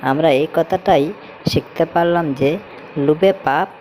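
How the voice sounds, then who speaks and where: A woman's voice: low-pitched, unhurried, a little mellow. A woman narrating a scripted children's story as a storyteller, over a noisy background. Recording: noticeable background noise, ambience.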